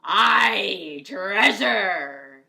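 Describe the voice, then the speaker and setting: A woman voicing a rowdy crew of storybook pirates with loud, chanting shouts. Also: medium pitch, lively intonation, drawn-out words, creaky, heavily acted.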